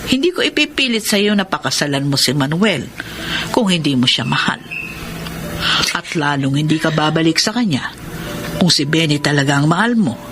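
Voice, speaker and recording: A woman's voice: low-pitched, lively intonation, normal speed, pauses often. Earnest mother figure, music behind. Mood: earnest advising. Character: caring, firm mother advising her grown daughter. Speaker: radio drama actress. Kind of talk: Filipino radio melodrama acting. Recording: dramatic music under voice.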